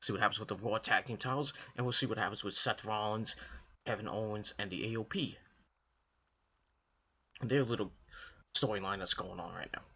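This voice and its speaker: Hoarse guy with a cold going on about wrestling, lowish voice but bright and forceful, kinda muffled lo-fi sound. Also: fairly quick, long pauses. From Northeastern US. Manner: rambling, repetitive, frequent restarts and fillers. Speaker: wrestling video reviewer. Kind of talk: casual solo commentary to camera.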